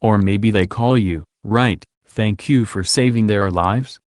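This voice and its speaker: A male interviewer with a fairly deep voice puts questions in a halting way, rewording as he goes. The recording is dry, with no audible room. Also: a little mellow, no echo.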